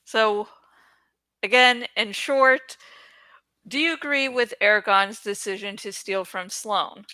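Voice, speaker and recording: A woman's voice: mid-range voice, bright, forceful tone, smooth, dry recording. A woman host chatting in a rambling, informal, giggly way about a book, swearing freely. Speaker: host of a book read-along channel.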